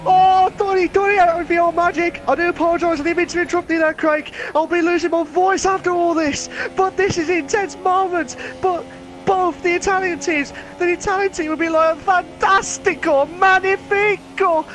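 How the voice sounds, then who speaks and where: A man co-commentating on a sim racing event, excitable and prone to loud exclamations. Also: extremely high pitch, normal speed, rough recording. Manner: excitable, shouts and exclaims over the action.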